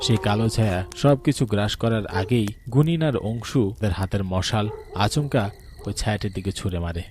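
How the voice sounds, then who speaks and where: A man's voice: lowish voice, moderate pace, very noisy. A man reading a scripted horror story as narrator, dramatic and suspenseful.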